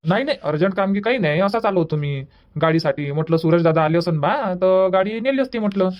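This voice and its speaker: Cartoon dub: voice actor playing a sheepish young village guy, quick, lo-fi. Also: medium pitch, lively intonation, lightly acted. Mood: explaining. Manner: apologetic, hesitant, starts lines with "uh". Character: polite young village man borrowing a bike.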